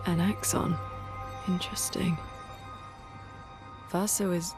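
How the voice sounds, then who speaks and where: A woman's voice: lowish voice, steady tone, takes it slowly, loud music behind the voice. Cool, clipped woman expeditioner. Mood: pensive. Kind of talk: video game cutscene acting.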